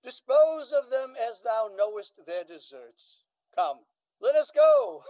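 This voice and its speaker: A noble Duke from a Shakespearean radio play, played by a man, with a notably high voice for a male. He declaims slowly and with stately dignity, a magnanimous ruler. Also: very animated, a little mellow, exaggerated voice, rough recording. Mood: gracious. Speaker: amateur stage actor. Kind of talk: Shakespearean radio drama acting.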